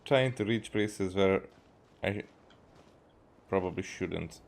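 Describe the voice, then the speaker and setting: A man from Hungary with a Hungarian accent gives casual, halting gameplay commentary, half to himself as he streams. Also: low voice, vocal fry. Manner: halting, muttering to himself while playing. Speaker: game streamer. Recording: game music behind. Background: video game music.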